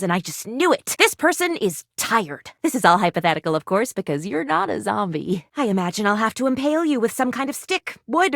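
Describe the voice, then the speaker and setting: A woman's voice: mid-range voice, a bit bright, no room sound, clean studio sound. A woman voicing an eccentric, eager medical student in a video game, with a precise, wordy, earnestly awkward way of talking. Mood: probing, matter-of-fact, then amused, musing. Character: eccentric, eager female medical student, a household object come to life. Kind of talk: animated video game character dialogue.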